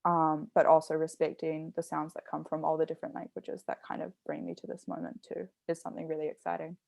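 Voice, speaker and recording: A woman's voice: low-pitched, monotone, fast, creaky, darkish tone, dry recording. A young adult woman, an artist, talking reflectively and explaining her ideas with care in a conversation. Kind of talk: thoughtful conversational talk.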